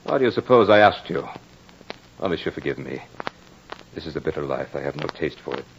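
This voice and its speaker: A man's voice: a bass voice, expressive, a little fast, creaky, a little hiss or hum, exaggerated voice. An actor playing a French nobleman and former soldier in an old radio drama, speaking earnestly in a formal, theatrical way. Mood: bitter, restless. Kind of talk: 1940s radio drama acting. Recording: dull old radio recording with music.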